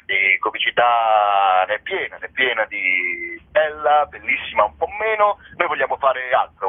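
A man's voice: mid-range voice, dry recording. Man on a narrow phone line, rambling. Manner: hesitant, rambling, self-correcting, jokey. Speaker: comedy performer and show presenter, interview guest. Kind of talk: spontaneous interview chat.

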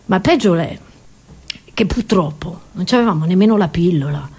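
A woman's voice: fairly low pitch, lively intonation, normal speed, darkish tone, husky. A woman playing an indignant old pensioner grandmother in a comic monologue, rambling, stammering and repeating herself as she reminisces. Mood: nostalgic, proud.

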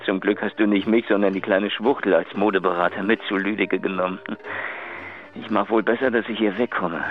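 An actor playing a furtive, smug secret lover leaving a confidential, mocking phone message in a German radio drama. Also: male voice, on the low side, fairly even intonation, fairly quick, close to an everyday voice. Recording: tinny phone line, faint music behind.

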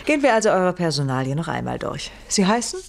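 A woman's voice: fairly low pitch, big pitch swings, fast talker, gravelly. An actress playing a scheming, commanding aristocratic lady in a radio play, speaking in a refined, theatrical and controlling manner. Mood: cool, commanding. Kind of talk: 1970s German radio play acting.

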